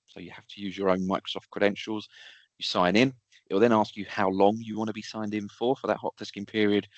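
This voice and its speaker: Low, creaky-voiced man explaining technical matters. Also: dry recording. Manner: explains step by step with practical examples. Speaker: technical expert answering audience questions. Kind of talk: informal technical webinar explanation.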